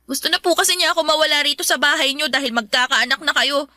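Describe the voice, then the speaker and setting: A woman's voice: high voice, fairly even intonation, fast, pushed and bright, no room sound, clean studio sound. An actress playing a hurt, defiant student daughter in a Filipino radio drama, polite but pleading as she talks back. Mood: defensive, upset.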